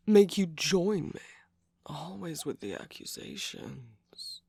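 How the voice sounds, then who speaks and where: A man voicing a smug, teasing villain's henchman in a romantic ASMR roleplay, breathy and gravelly, drawling and dropping into whispers close to the mic. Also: a little high, big pitch swings, slow, no echo, exaggerated voice. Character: teasing, jaded, smug male villain's minion cookie. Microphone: close microphone, dry sound.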